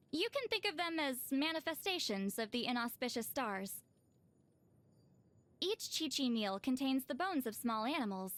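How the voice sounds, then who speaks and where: High swoopy anime game shaman lady, kinda weary n dry, gets flustered and defensive easy. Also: fairly bright tone. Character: young-looking shaman woman, reclusive, flustered, defensive, secretly worrisome. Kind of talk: video game character voice lines.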